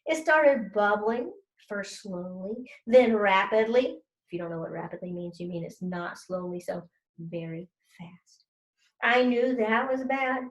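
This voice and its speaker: A woman's voice: medium pitch, unhurried. A woman teacher doing a children's read-aloud, explaining things simply and speaking directly to her students. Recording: roomy, echoey sound.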